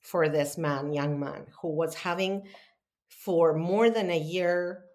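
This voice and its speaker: This woman from Argentina talks in a lower register. Her delivery is earnest and animated, full of restarts. When she quotes other people, her voice jumps up high and lively. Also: slow pace. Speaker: podcast guest. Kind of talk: casual conversation.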